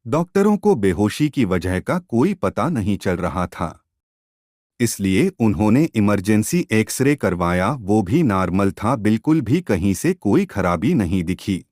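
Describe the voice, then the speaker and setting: A man narrating a scripted story as a storyteller, in a measured, dramatic way. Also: on the low side, very animated, a little mellow, dry recording, studio quality.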